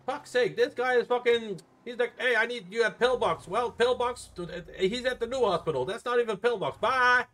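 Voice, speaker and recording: A man's voice: extremely high pitch, brisk, few pauses, fairly bright tone. A man chatting in character on a live game stream as a medic, casual and foul-mouthed, grumbling as he goes. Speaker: streamer role-playing as an emergency medic. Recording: noisy game audio with sirens behind. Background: emergency sirens and game sounds.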